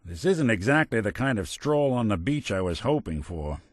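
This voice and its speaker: A man's voice: fairly low pitch, sing-song, normal speed, no echo, studio quality. An actor playing a world-weary synth detective in a video game, speaking in a wry, sardonic, old-time tough-guy manner. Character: world-weary wisecracking male synth robot detective, middle-aged. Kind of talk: scripted game companion dialogue, noir detective.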